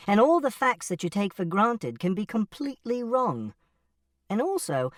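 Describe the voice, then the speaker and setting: A narrator plays a literal-minded teenage boy narrating an audiobook in the first person. The telling is earnest and precise, with lively, varied intonation. The recording is dry, with the voice set slightly back from the mic. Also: mid-range voice, no room sound, recorded from a distance, close to an everyday voice. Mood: earnest, dreamy. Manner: precise, matter-of-fact, earnest.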